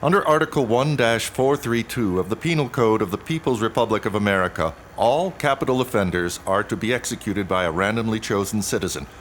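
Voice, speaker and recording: Stern, formal police lieutenant played by a man, lowish. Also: brisk, few pauses, fairly bright tone, a little hiss or hum, close to an everyday voice. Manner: formal, clipped, official. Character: stern, procedural male police lieutenant reading rights. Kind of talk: audio drama acting.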